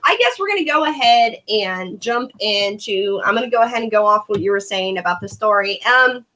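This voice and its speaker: A woman's voice: mid-range voice, animated, a little fast, pushed and bright. A woman from Korea hosting a casual video-call movie review, chatty and sarcastic. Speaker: host.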